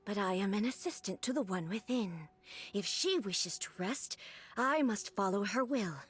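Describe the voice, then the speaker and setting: A woman voicing a cold, artificial ruler in a game cutscene, speaking in a flat, formal, commanding way. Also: medium pitch, normal speed, husky, natural voice.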